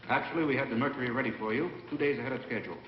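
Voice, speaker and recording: An actor playing a composed, authoritative space commander in a dubbed vintage science fiction film. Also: male voice, mid-range voice, very fast, natural voice. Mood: brisk, composed. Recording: muffled, noisy, echoey old film soundtrack.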